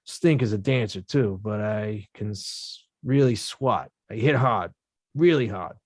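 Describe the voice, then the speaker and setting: A man reading the part of a rough-talking young male boxer at a script table read, slangy and self-mocking. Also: lowish voice, unhurried, no room sound, studio quality.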